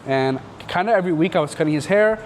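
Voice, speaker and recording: A man's voice: on the high side, lively intonation, fast, slight background noise. Rambling, slangy young man. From Canada. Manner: rambling and slangy, full of filler words. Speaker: entrepreneur telling his story. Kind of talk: casual storytelling interview.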